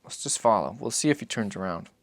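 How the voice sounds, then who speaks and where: Low-voiced, patient, guarded father read by a man. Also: expressive, no echo, studio quality. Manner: terse, measured, patient answers. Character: weary, patient father, guarded survivor answering his son. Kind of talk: dramatic audiobook reading.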